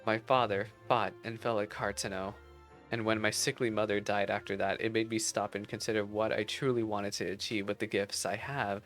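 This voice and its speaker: A man's voice: lowish voice, little pitch movement, moderate pace, a bit bright, soft background music, close to an everyday voice. A man reading aloud the game lines of an earnest, slightly haughty young female musician and rival. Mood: earnest, wistful.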